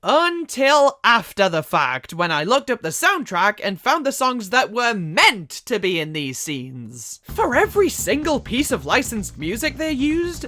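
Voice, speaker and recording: A woman's voice: on the high side, a bit bright. A woman giving scripted video review commentary, with emphatic, dramatic stress on key words. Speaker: video essayist reviewing films.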